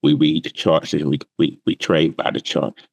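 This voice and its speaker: A man's voice: rumbling low, flat delivery, moderate pace, vocal fry, a little mellow, breathy edge, no room sound, lo-fi sound. A man teaching trading, explaining plainly with everyday examples on a remote call. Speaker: trading instructor. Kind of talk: casual interview answers.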